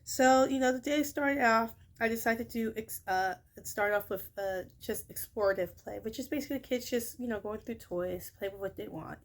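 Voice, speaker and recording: A young woman who works in childcare recaps her day in a casual vlog, her high, shrill voice rambling with many fillers and self-corrections. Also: moderate pace, few pauses. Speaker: childcare worker.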